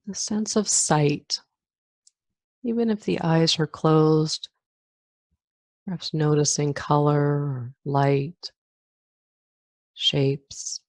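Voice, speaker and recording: Female meditation teacher, deep register, calm and slow with long pauses, dry sound. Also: no room sound. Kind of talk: guided meditation.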